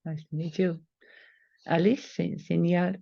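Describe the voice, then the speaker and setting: A woman moderating a webinar, briefly thanking people and reading out names haltingly. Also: lowish voice, level tone, creaky, dry recording. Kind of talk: calling on participants. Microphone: video call. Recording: dull, dry video-call audio.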